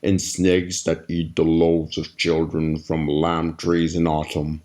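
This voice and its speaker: A man voicing a monstrous creature that recites cryptic riddles in a droning monotone for a horror audio log. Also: very deep voice, takes it slowly, pitched below the performer's own voice. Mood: ominous, detached.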